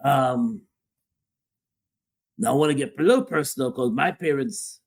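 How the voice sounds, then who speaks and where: A man's voice: mid-range voice, moderate pace, slightly rough. A man co-hosting a podcast, talking casually about relationships and repeating phrases for emphasis. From Guyana (family). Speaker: podcast co-host. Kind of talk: casual opinion talk.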